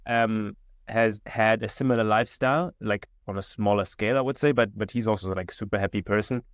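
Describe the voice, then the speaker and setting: A young German-accented man talks easily on a video call, his voice a little low and dry. He wanders through his answers with plenty of "um" and "like", restarting as he goes. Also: brisk, no echo. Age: 20s. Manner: relaxed, many fillers and restarts. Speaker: guest entrepreneur. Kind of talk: casual interview chat. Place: indoor room.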